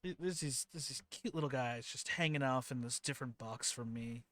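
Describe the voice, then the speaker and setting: A man's voice: medium pitch, a little fast, fairly bright tone. A man running a tabletop game as game master, chatting casually and laughing often between his words. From Philippines heritage.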